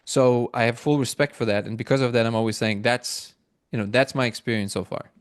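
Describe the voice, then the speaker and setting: A middle-aged man from Russia who later moved to Israel, answering reflectively as an interview guest, haltingly restarting phrases as he thinks aloud. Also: medium pitch, steady tone, smooth, no room sound, studio quality. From born in Moscow, Russia, moved to Israel.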